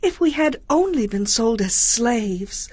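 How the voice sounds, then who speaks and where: A young Persian queen in an audio Bible drama. She is regal and earnest, with a dramatic, formal delivery and a fairly high voice that moves widely in pitch. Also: expressive, slow pace, darkish tone, no echo. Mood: impassioned, accusing.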